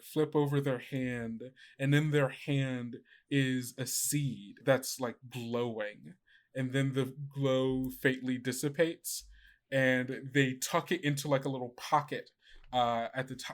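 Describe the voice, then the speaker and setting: A man improvising narration as game master of a tabletop game, in a halting, amused way. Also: mid-range voice.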